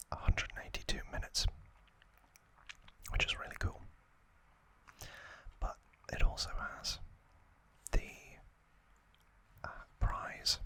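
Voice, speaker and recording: Slow whispering man, ASMR style. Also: bright, forceful tone, poor audio. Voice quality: breathy unvoiced whisper. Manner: slow, drawn-out phrasing with frequent pauses. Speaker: ASMR host. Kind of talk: whispered ASMR trivia narration.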